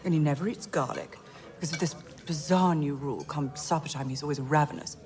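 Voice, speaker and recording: A woman's voice: deep voice, a little fast, a little mellow, soft background music, close to an everyday voice. An actress playing a condescending, breezy upper-class English woman, a prince's worldly older lover, in a screen drama.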